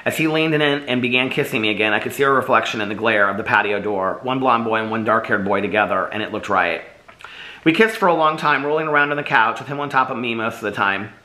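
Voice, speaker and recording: A man's voice: medium pitch, fast talker, pushed and bright, poor audio. A man who is slightly losing his voice chats casually, then reads his own novel aloud in an unpolished way with small restarts. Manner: chatty, friendly intro, unpolished read-through with small restarts.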